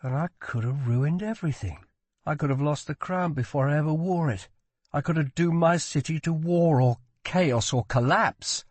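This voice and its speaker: A man's voice: fairly high pitch, very animated, moderate pace, dry recording, pitched above the performer's own voice. A man voicing a wounded young prince in an audiobook, petulant and dramatic, playful yet weighed down by guilt. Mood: vulnerable, ashamed. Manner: scornful, dramatic, demanding.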